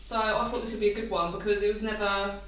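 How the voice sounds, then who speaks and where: A woman at a middle pitch chats loudly and excitably, breaking into giggles. Her voice rings around an echoey room. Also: fast talker. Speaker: podcast co-host. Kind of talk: casual chat.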